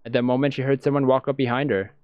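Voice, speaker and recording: A man's voice: medium pitch, flat delivery, very fast, darkish tone, clear, resonant tone, no room sound. A man reading visual novel narration aloud, as in a let's-play. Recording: dull lo-fi sound, music behind.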